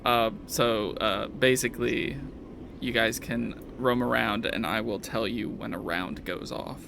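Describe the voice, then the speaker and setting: A man casually running a tabletop game as game master, speaking haltingly with pauses to think. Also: on the low side, fairly even intonation, creaky. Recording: noisy, unclean home recording.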